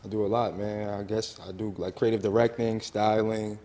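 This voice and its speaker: A man's voice: lowish voice, little pitch movement, normal speed, mellow. A man from New Jersey, a brand owner and stylist, talking casually and hesitantly, with many fillers. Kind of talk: casual interview chat. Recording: long reverb in the room.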